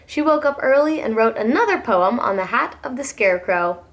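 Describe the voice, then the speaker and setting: A woman preschool teacher reading a picture book aloud to young children in an animated, dramatic storytelling manner. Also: a little high, a little fast.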